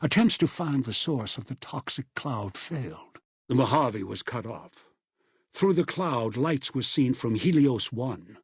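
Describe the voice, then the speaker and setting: An actor voicing a bitter, obsessive old schemer in a video game, with a gravelly voice and an ominous, deliberate delivery. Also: male voice, lowish voice, no room sound, studio quality. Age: older. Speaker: video game villain and narrator. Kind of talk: dramatic video game voice acting.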